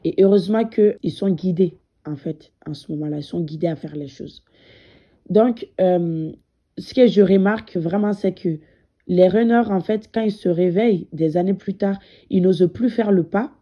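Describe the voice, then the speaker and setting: A woman's voice: on the low side, normal speed, dull audio. A woman with a cold, speaking directly to viewers as a spiritual medium and coach, hesitant and full of fillers. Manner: hesitant, many fillers, repeats words. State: has a cold. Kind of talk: direct-to-camera spiritual talk.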